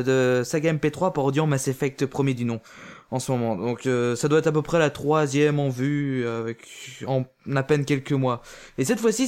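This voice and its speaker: Hesitant French man giving a review. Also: on the high side. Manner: hesitant, many fillers and restarts. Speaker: reviewer of amateur audio dramas. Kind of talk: casual spoken review.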